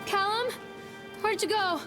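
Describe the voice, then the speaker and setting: Anxious mother, high-pitched, searching for her lost child, game acting, prominent background music. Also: measured pace. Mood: worried, calling out. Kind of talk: video game acting, calling out and inner monologue.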